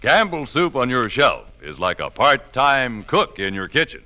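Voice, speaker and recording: A man's voice: mid-range voice, very animated, measured pace. An American man reading a scripted soup-style radio commercial as a 1940s announcer, with hearty, emphatic salesmanship. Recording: 1940s radio broadcast recording.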